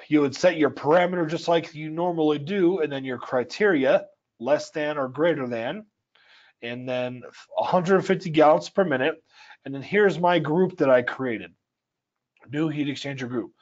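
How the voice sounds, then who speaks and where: A man with a dry, scratchy voice, explaining a software tool step by step in a casual, filler-filled way. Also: a little high, normal speed, highs cut off. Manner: explanatory, conversational, with frequent fillers. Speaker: software trainer. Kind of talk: screen-share software tutorial. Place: home or office at altitude.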